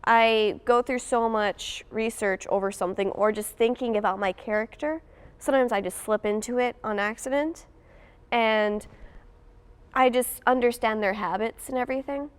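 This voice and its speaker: A woman's voice: a little high, moderate pace, smooth. A young woman, a student actress, talking casually in an interview about her own approach. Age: teens to young adult.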